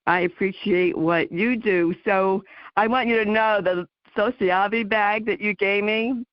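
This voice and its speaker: A woman hosting a radio talk show, introducing her guest and chatting warmly in the interview. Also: medium pitch, steady tone, unhurried, no room sound, muffled recording. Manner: warm, chatty, interjects with laughs.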